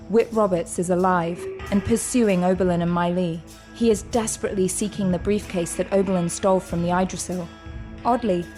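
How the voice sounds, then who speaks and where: A woman's voice: medium pitch, a little mellow, natural voice. A woman narrating a sci-fi audio drama as a solemn storyteller, in a dramatic scripted style.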